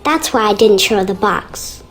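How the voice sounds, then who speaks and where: A woman's voice: medium pitch, expressive, normal speed, faint music behind, natural voice. A woman voicing a frightened, earnest young girl in a video game, childlike and confiding. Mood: uneasy, confiding. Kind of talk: video game character dialogue.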